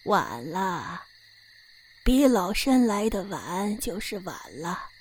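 A woman's voice: mid-range voice, sing-song, slow, husky, full character voice. Woman acting a curt, stubborn old woman. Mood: curt, annoyed. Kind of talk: Chinese period radio drama acting.

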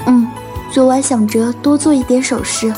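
A woman plays a teenage older sister in an audio drama, with a very high, tender voice. She is earnest and devoted, a girl worn by hard work, with music behind her. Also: steady tone, normal speed, natural voice. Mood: weary, worried. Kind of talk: Chinese audio drama acting.

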